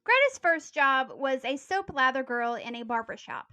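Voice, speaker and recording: A woman hosting a history podcast, reading her prepared script in an earnest, steady storytelling way. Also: high voice, moderate pace, clean tone.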